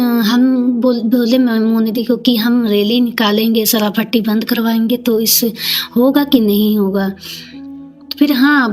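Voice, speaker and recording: Slow, high-voiced eastern Indian woman storyteller. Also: a little mellow. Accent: eastern Indian regional Hindi. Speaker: community leader, podcast guest. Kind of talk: personal storytelling.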